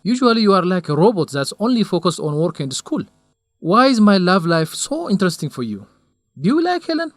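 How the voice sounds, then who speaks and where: A man's voice: high-pitched, big pitch swings, a little fast, no echo, studio quality. An actor playing a young male researcher in a radio drama, lovesick and stubborn, speaking in an earnest, defensive way.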